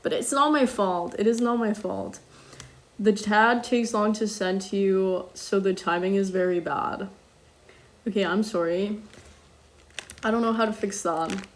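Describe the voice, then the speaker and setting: A young woman streaming live while she cooks, chatting casually and reading out viewer comments. She speaks international-school English with slight German touches and fills her talk with ums and quick apologies. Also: mid-range voice. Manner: chatty, self-deprecating, frequent ums and apologies.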